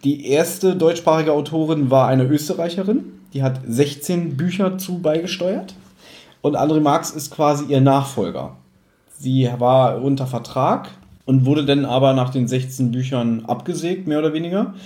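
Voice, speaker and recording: German guy on a podcast, slightly high voice, explains stuff, roomy echo. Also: normal speed, warm tone. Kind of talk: casual podcast chat with explanatory background.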